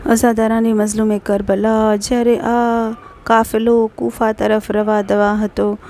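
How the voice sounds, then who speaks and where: High, gravelly lamenting woman reciter. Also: darkish tone. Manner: lamenting, addresses the mourners directly. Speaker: mourning reciter. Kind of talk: religious mourning recitation.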